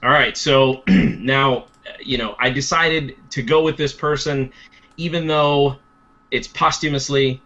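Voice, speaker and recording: A man's voice: medium pitch, fairly even intonation, takes it slowly, a bit bright, dull audio. A man playing in a tabletop game over a video call, talking casually and enthusiastically as he explains his choice. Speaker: tabletop game player and channel host. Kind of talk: casual chat on a game show.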